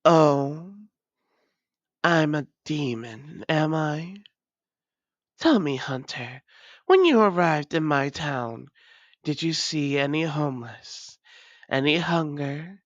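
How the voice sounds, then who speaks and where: A woman acts out a menacing vampire ruler in an audio roleplay. Her voice sits low and she speaks very slowly, with proud, taunting deliberation. The sound is dry, with no room around it. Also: lively intonation, dry recording, highs cut off. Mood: mocking, threatening. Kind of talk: dramatic audio roleplay monologue.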